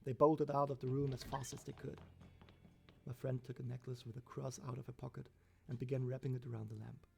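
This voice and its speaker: A man's voice: mid-range voice, flat delivery, fairly quick, creaky, darkish tone. A man reading a scripted first-person horror story as its narrator. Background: background music.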